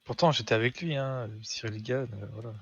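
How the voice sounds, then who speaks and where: Slightly husky young man, teasing gamer. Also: medium pitch, brisk, highs cut off. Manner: slangy, teasing. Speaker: gamer playing with friends. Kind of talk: casual banter during gameplay.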